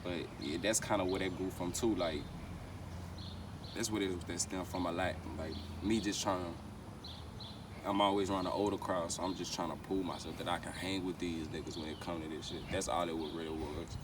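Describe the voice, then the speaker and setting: Very low-voiced American man, slangy and casual. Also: fairly even intonation, fairly quick. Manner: slangy, repeats verbal tags like "you know what I'm saying". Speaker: rapper being interviewed. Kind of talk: casual interview chat. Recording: noisy, distant mic, outdoor street ambience.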